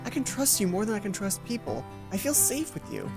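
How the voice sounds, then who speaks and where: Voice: mid-range voice, big pitch swings, fairly quick, music in the background, pitched above the performer's own voice. A performer voicing an anxious, eager schoolgirl in a visual novel game, earnest and smitten.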